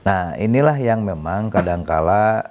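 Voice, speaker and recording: A middle-aged man from Bandung, a city council member, explaining his work in a relaxed interview, with many fillers and self-corrections. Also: sits low, a little slow. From Bandung, Indonesia. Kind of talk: conversational interview.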